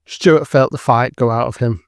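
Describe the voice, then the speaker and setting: A man's voice: mid-range voice, steady tone, normal speed, clear, resonant tone, no echo, clean studio sound. A man giving scripted dramatic narration for an audio drama.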